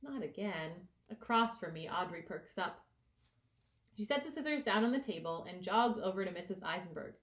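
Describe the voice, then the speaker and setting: Lively woman reading a children's novel aloud. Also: on the low side, brisk. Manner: animated, acts out dialogue and the child narrator's reactions. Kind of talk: expressive read-aloud of a middle grade novel.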